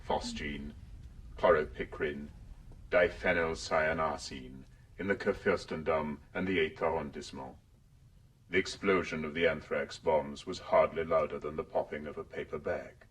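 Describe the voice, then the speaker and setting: An actor playing an authoritative world controller giving a grave, measured history lecture in a radio drama. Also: male voice, rumbling low, steady tone, a little hiss or hum. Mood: grave, oratorical. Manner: measured, oratorical. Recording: distant, off-mic broadcast voice.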